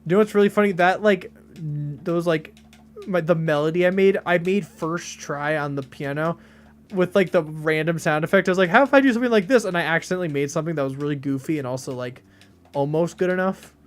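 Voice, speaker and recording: A young man in his late teens streaming games and chatting casually, with a deadpan delivery and lots of rambling fillers. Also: a little high, fairly quick. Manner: deadpan, flat delivery even when joking; rambling, many fillers and restarts. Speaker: game streamer. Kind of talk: casual live-stream chat.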